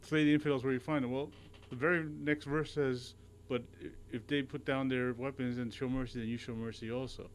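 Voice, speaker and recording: Earnest, halting man on a talk show. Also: mid-range voice, little pitch movement, a little hiss or hum, rough recording. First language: not Arabic. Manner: halting, with many restarts and repeated words. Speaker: radio show guest. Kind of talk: casual radio conversation.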